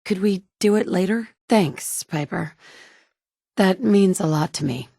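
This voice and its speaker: A woman's voice: medium pitch, unhurried, vocal fry, mellow, no echo, clean studio sound. An actress voicing a blunt, wry woman survivor in a video game, plain-spoken and direct, by turns tender and curt. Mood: even. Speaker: player character. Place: recording studio.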